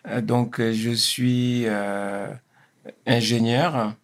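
A man's voice: medium pitch, flat delivery, extremely slow. A man in his fifties from Senegal, answering interview questions in a hesitant way, with frequent fillers and restarts. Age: 50s. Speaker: guest.

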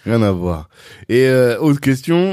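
A man's voice: mid-range voice, very animated, a little slow, a little mellow, no room sound. A man hosting a casual interview, asking questions and adding short agreements and jokes. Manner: brief backchannels, joking questions.

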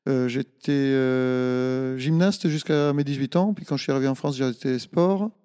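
A middle-aged man from Italy, a native Italian speaker, answering casually in an interview with halting, hesitant phrasing. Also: mid-range voice, dark tone, clean tone, big-room sound. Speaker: interview guest, ultra-trail runner.

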